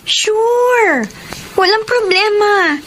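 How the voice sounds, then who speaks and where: A woman's voice: very high-pitched, drawn-out words, a bit bright, a little hiss or hum, exaggerated voice, low-quality recording. An actress playing a spirited young woman student in a Filipino radio drama, teasing and sincere, with a Filipino accent. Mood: bright, teasing. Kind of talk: radio drama acting with first-person narration.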